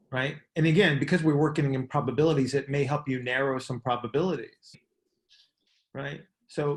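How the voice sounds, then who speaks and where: A man hosting a coaches' call, explaining things in a casual discussion and often checking in with "right?". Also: fairly high pitch. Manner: often ends points with "right?".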